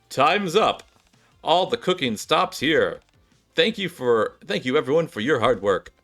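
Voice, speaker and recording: A man's voice: medium pitch, a bit bright. Male reader as a pompous competition judge, formal and drawn out, game dialogue over faint music. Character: formal, grandiose cooking contest announcer.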